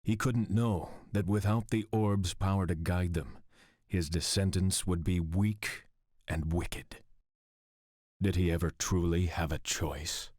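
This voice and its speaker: A man's voice: low-pitched, fairly even intonation, slow pace, a little mellow, dry recording, clean studio sound. A man playing a brooding exiled warlord in a video game, speaking in a solemn, terse, commanding way. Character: Kenji, brooding exiled young warlord of a serpent clan. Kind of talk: dramatic video game cutscene acting.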